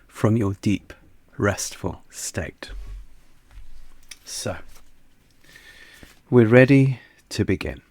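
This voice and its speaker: A man guiding a yoga nidra meditation in a soft, soothing, unhurried manner that sometimes sinks almost to a whisper. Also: on the low side, dry recording. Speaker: meditation guide.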